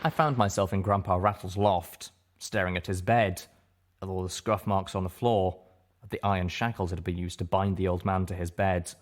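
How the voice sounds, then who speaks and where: A man's voice: sits low, fairly even intonation, moderate pace. A man reading a first-person story aloud as dramatic scripted narration. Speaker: audiobook reader.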